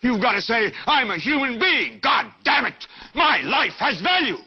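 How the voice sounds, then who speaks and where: An actor playing a furious, prophetic TV news anchor, ranting in oratorical, repeating cadences like a zealot in a film monologue. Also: male voice, high-pitched, expressive, moderate pace, dull audio, loud music behind the voice. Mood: urgent fury.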